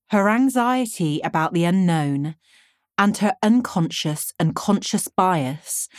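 A woman's voice: on the low side, a little mellow, no echo, clean studio sound. A woman in her forties reading her own memoir as a scripted audiobook narration. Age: 40s.

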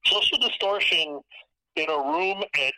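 A Californian man in his fifties with a very bright, slightly high voice talks through memories of his youth. He is measured and reflective, circling back and restarting lines as he goes. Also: expressive, low-quality recording. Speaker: guest. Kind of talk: casual conversation.

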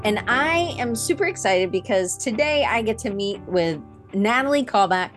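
A woman's voice: on the high side, expressive, moderate pace, faint music behind. A woman hosting a live online show in an informal, enthusiastic way, chatty and often correcting herself as she goes. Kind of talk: enthusiastic, informal guest introduction.

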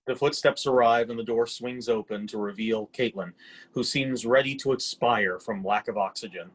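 A man's voice: medium pitch, normal speed, poor audio. A man reading out stage directions as scripted narration for a readers theatre play.

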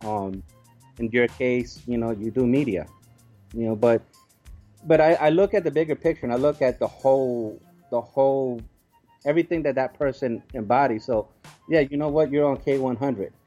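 A man with a mellow, relaxed voice answers as an interview guest. He explains things casually and somewhat haltingly, building lists of examples. Soft music sits faintly underneath. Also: medium pitch.

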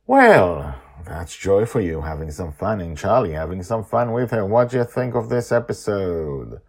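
A man hosting a story podcast and reading his own tale in an animated, emphatic way with short dramatic phrases. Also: low voice, normal speed, breathy edge.

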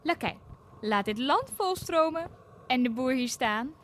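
A woman's voice: high voice, expressive, unhurried, slight background noise. A woman playing a spoiled, imperious, bored queen in a comedic audio drama. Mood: commanding, gleeful. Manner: imperious, commanding. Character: spoiled, bored, haughty queen, cruel and easily pleased.

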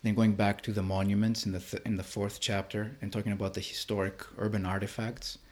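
A man from Greece explaining his work in an interview, hesitant and halting, often stumbling over words. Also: on the low side, flat delivery. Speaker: interviewed artist and filmmaker.

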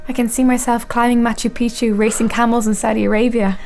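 A woman's voice: fairly high pitch, flat delivery, fast, gravelly, darkish tone. A woman playing an idealistic, curious teenage girl in a radio drama, earnest and coaxing. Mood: eager, teasing.

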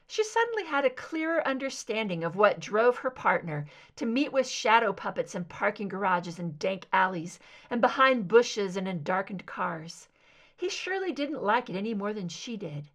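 A woman reading scripted fiction as an audiobook narrator, in an expressive storytelling style. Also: a little high. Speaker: amateur audiobook reader of fan fiction.

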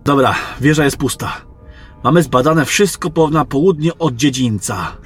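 A man's voice: mid-range voice, moderate pace, slightly rough, soft background music, lightly acted. A man voicing a young soldier in a fantasy audio drama, wry and a little grumbling. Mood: matter-of-fact. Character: wry young male soldier, reluctant and questioning.

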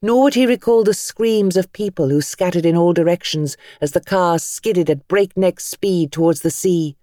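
Expressive British woman narrating, intimate dry sound. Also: on the low side, no room sound. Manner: measured, expressive storytelling. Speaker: audiobook narrator. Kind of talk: dramatic scripted fiction narration.

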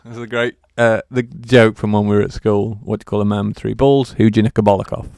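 A man's voice: fairly low pitch, level tone, fast talker, creaky, a little mellow, no room sound, studio quality. A man co-hosting a comedy podcast, joking in a silly, playful way. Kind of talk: casual comedic chat.

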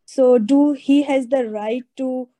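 Female caller, very mellow timbre, higher pitch, hesitant and anxious. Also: fairly even intonation, slow. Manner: hesitant, filler-heavy, anxious questions.

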